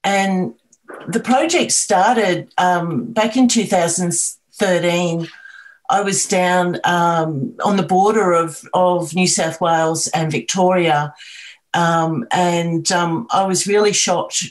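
A middle-aged Australian woman, a video artist, talking about her work on a video call, with many pauses, ums and restarts. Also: fairly low pitch. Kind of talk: artist talk on a video call, sharing screen.

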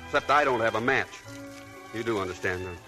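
A man's voice: on the low side, fast talker, natural voice. An actor playing a charming, aimless young man from an old family, in 1940s radio acting, wistful and self-mocking. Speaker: Robert Manette. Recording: old radio broadcast with faint music.

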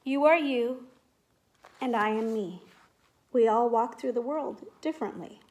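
A middle-aged woman teacher speaking in simple, clear phrases to young children, as in a read-aloud or classroom demonstration. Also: a little high, unhurried, darkish tone, slight background noise. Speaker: teacher making videos for pupils. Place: indoors at home, living room and kitchen. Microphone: device mic at about arm's length.

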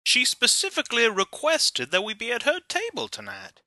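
A man's voice: extremely high pitch, wildly swooping pitch, moderate pace, sharp tone, dry recording, clean studio sound, heavily acted. A man playing a hot-tempered, blunt and domineering husband with a brash, coarse manner in an amateur radio play. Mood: insistent.

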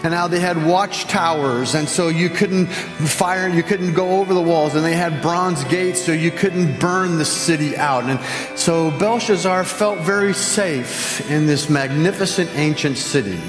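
A middle-aged male pastor preaching on a church stage, his voice sitting a touch high for a man. He drives his points home, stretching out the words that matter most. The sound carries a long reverb through a big hall, with music playing underneath. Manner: emphatic, gesturing preacher who slows down for key phrases. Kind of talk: sermon. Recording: echoey hall with music behind.

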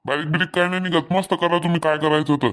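A man's voice: fairly high pitch, fairly even intonation, fast, clean tone, close to an everyday voice. A man plays a cartoon market vegetable seller. He is a put-upon, obliging haggler who protests in folksy, colloquial turns. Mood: conceding. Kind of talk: Marathi comedy cartoon dialogue.

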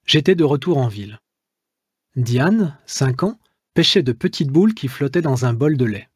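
A man reading his own memoir aloud as an audiobook, in a measured, literary first-person narration. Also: mid-range voice, lively intonation, breathy edge, no echo, clean studio sound.

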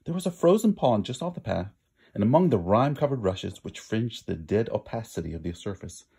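A man reads a picture book aloud with lots of drama. His pitch moves around freely over a creaky, slightly rough voice, and he lingers on key words for emphasis. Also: big pitch swings, dark tone. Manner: theatrical, drawn-out emphasis. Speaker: storybook reader.

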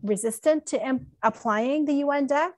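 Casting: woman, fairly high voice, dry close sound, frank conversational lecturer on a video call. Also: moderate pace, no echo. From Alberta, Canada. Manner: informal, frank. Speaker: keynote speaker, law professor. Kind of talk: conversational academic talk. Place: indoor room at home, on a video call. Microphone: computer mic on a video call.

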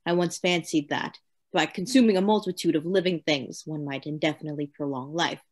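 A woman's voice: low voice, a little fast. A woman playing a tormented, obsessive asylum lunatic in a radio play, with formal, theatrical diction. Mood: defensive, genteel. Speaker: actor.

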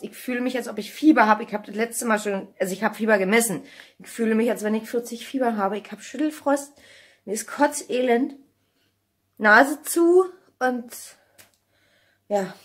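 A woman, chatting casually to camera in an informal, thinking-aloud way on a phone at arm's length in a kitchen. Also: a little high. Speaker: vlogger. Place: home kitchen. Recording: noisy handheld vlog recording with clicks.

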